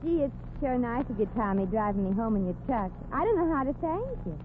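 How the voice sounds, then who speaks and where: A brassy, quick-witted young dame in an old radio comedy, tossing off slangy wisecracks with a lively, bouncing pitch. The broadcast sounds thin and tinny, with steady hiss underneath. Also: female voice. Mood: sassy. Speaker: lead actress in a radio comedy. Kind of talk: 1940s radio comedy acting.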